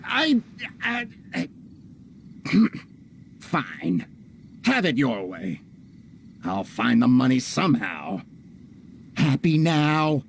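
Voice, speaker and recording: A man voicing a pompous, blustering, greedy merchant villain in a theatrical, melodramatic video game style, with a gravelly voice. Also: medium pitch, wildly swooping pitch, extremely slow, long pauses, a little hiss or hum, heavily acted. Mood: grudging.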